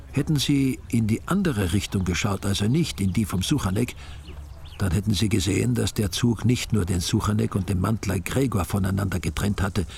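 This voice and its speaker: An older man narrating a radio drama in a measured, wry way. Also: lowish voice, gravelly, darkish tone, a little hiss or hum. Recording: studio radio play with music.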